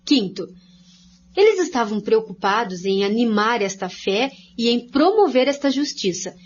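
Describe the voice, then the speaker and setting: A woman's voice: on the high side, sing-song, moderate pace. A woman reads a scripted religious narration as a series of points. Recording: dull lo-fi audio with faint hum.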